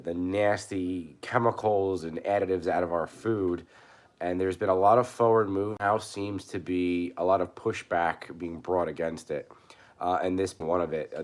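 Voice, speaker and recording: A man hoarse from a cold, talking casually on his channel, halting and restarting with many fillers. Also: sits low. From New York. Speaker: prepper channel host. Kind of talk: casual live commentary.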